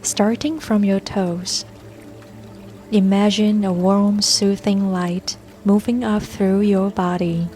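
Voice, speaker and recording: Female meditation guide, mid-pitched, very unhurried and gentle, scripted calming read over soft music and water. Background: soft music and babbling brook sounds.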